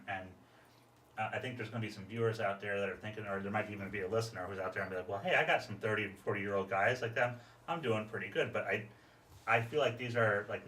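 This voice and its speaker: A man's voice: fairly low pitch, fairly bright tone. An American man hosting a casual interview, rambling through questions and acting out imagined quotes. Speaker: podcast host, interviewer. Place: podcast studio, indoors. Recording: roomy echo, distant mic, faint noise.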